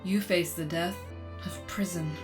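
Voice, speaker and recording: A woman playing a gentle, earnest robot confidante with a soft, solemn delivery in an animated comic dub. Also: lowish voice, a little slow, music in the background, close to an everyday voice. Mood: solemn, tender.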